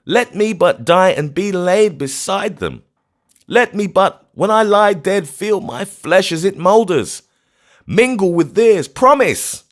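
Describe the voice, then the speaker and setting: A shrieking grief-mad old astronomer. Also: male voice, a little high, big pitch swings, unhurried, pitched above the performer's own voice. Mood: raving. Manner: shrieking, bitter, anguished outcries. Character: grief-maddened old male astronomer, raving.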